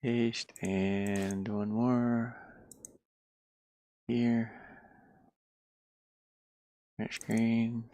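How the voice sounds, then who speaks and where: A slow, creaky voice talks through computer work in a casual, halting murmur, as if half to themselves. The sound is close and dry, in the manner of an informal screen-share. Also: mid-range voice, level tone, mellow, no room sound. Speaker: indie game developer. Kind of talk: casual screen-share chat while working. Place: inside a van.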